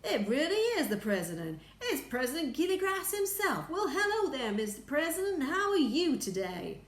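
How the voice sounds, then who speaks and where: Woman as a brash, high-pitched male astronaut. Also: sing-song, normal speed, echoey room. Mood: eager. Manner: brash, exclaiming. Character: cheeky, excitable male astronaut, talking back to superiors.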